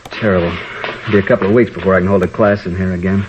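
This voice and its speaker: An earnest, worried male schoolteacher acted by a man talks rapidly in a fairly low voice, with some hesitation. It is an old radio drama recording. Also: steady tone, dull audio, background noise, lightly acted. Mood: troubled. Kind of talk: 1950s radio drama acting.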